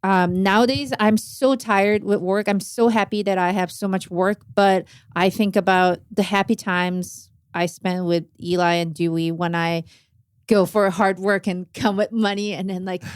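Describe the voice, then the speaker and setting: A woman's voice: mid-range voice, steady tone, unhurried, smooth, no room sound, studio quality. A woman from Korea, a native Korean speaker, chatting casually in an interview as a jazz drummer, with many fillers and non-native phrasing.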